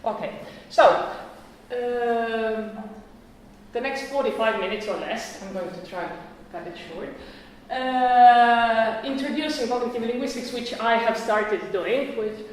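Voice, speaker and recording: A woman's voice: fairly high pitch, a little slow, a little hiss or hum. Woman lecturing in a very echoey hall. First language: Croatian. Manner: chatty, self-correcting, with frequent restarts and small laughs. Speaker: conference lecturer. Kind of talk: academic conference talk.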